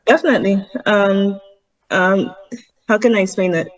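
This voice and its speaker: A woman's voice: mid-range voice, normal speed, highs cut off. A woman from Zimbabwe, a vegan chef, answering interview questions earnestly over a video call, with frequent ums. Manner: earnest, explains step by step with many ums. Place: home in Harare.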